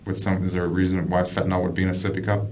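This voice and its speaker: Low-voiced American male detective, muffled noisy recording. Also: flat delivery, very fast, a little mellow. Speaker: police detective conducting an interrogation. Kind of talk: investigative interview. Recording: muffled, noisy police interview room recording.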